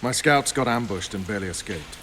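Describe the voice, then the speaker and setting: An actor playing a gruff, cynical military commander in a video game, blunt and sardonic. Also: male voice, lowish voice, animated, brisk, noisy background, natural voice. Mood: grim, wry. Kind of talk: video game cutscene acting. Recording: faint rain and ambience behind.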